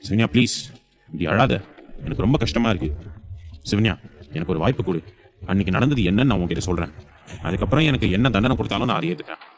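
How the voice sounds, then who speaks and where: Young husband, played by a man: lowish, quick, anxious, placating, audio serial drama. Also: expressive. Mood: pleading. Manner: pleading, placating. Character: earnest, pleading young husband, anxious to explain.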